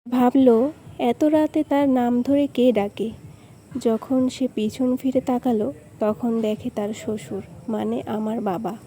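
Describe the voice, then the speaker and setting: A woman's voice: on the high side, mellow, a little hiss or hum, close to an everyday voice. A woman playing a village grandmother who earnestly tells her grandchildren a spooky memory in a cartoon story. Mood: ominous, reminiscing.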